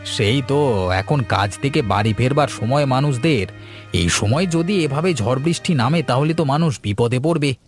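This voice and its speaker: A man's voice: mid-range voice, moderate pace, soft background music, voice pushed up, close to an everyday voice. A man playing a cautious, uneasy village shopkeeper in a cartoon ghost story, hesitant and wary. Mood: stranded, concerned.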